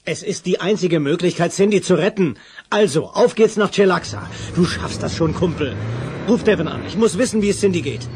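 An actor playing a determined, loyal and wry action hero in a German audio drama. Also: male voice, sits high, fairly quick, darkish tone, slight background noise. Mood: urgent.

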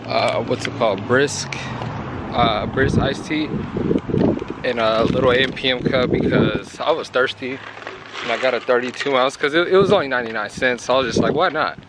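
A hoarse young man in his twenties rambles excitedly to his phone, held at arm's length, and keeps repeating himself. His voice sits at an ordinary middle pitch, worn rough from yelling, and the talk stays loose and chatty throughout. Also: very noisy. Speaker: vlogger. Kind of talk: casual vlog talk to camera. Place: bedroom at home, then walking on a suburban street outdoors.

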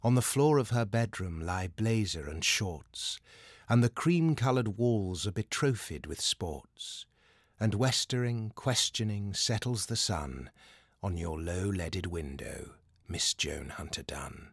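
Low-voiced British man reciting poetry slowly. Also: animated, husky, dry recording, clean studio sound. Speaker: poetry reader.

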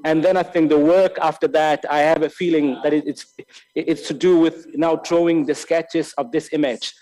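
A man from Lesotho talks slowly through his ideas in a lecture hall, his voice mellow and a touch higher than most men's. He stumbles over repeated words and restarts often as he searches for the right phrasing, speaking into a desk microphone. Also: steady tone. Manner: halting, many repeated words and restarts, gestures with hands. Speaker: filmmaker giving a master class. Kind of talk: reflective spoken lecture. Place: large bright hall with tall windows. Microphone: desk microphone on a stand.

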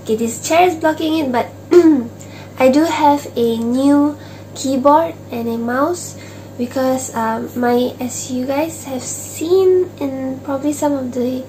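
A young woman with a high voice chats slowly and ramblingly to camera from a small home office, full of fillers and restarts. Her voice is turning slightly hoarse. Faint room ambience sits behind her. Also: mellow, a little hiss or hum, low-quality recording. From Malaysia. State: voice going hoarse, clearing throat. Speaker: stationery vlogger. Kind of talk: casual unboxing chat to camera. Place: small home office with desk and shelves, indoors.

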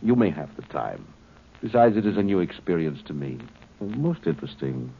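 An actor playing a cold, superior alien being in a human body, speaking precisely and with detachment, in an old 1950s radio drama. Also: male voice, deep voice, very animated, normal speed, gravelly, heavily acted. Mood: detached. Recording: dull old radio broadcast with faint hiss.

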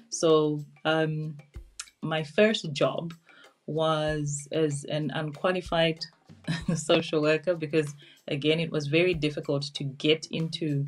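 A woman in her forties with a Zimbabwean accent, giving a candid, reflective personal account to camera at home. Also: low voice. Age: 40s. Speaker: interview guest, social worker. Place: small curtained room at home, indoors.

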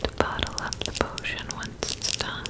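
A young adult woman performing a whispered ASMR roleplay close to the mic, gentle and soothing as a friendly witch. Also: normal speed. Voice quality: breathy, unvoiced whisper.

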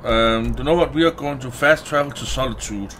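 A man's voice: mid-range voice, a little fast, fairly bright tone, slight background noise. A man giving casual let's play commentary as host, informal and sweary, thinking aloud. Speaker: gaming video host.

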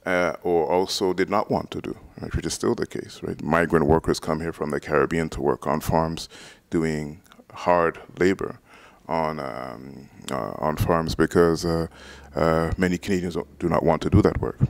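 Very deep gravelly man, measured. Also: dry recording. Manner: measured explanatory, frequent ums. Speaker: interviewee. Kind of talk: documentary interview. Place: indoors, red-walled room, seated on couch. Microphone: handheld mic close to mouth.

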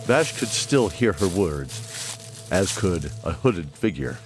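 A man's voice: sits low, sing-song, takes it slowly, heavily acted. A man narrating a fantasy audio drama in a scripted storytelling style. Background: music.

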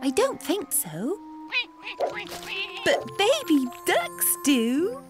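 A woman's voice: extremely high pitch, huge pitch swings, drawn-out words, exaggerated voice. A woman voicing a cheerful young farm girl in a children's cartoon, eager and sing-song. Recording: music playing underneath.

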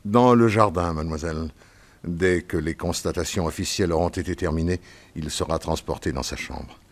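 A solemn small-town sheriff. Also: male voice, low voice, normal speed.

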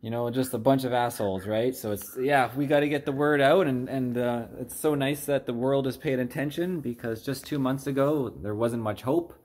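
A man's voice: mid-range voice, moderate pace, few pauses, darkish tone. Laid-back man in his forties. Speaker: vlogger. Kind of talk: casual phone chat. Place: indoors at home. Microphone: phone held close.

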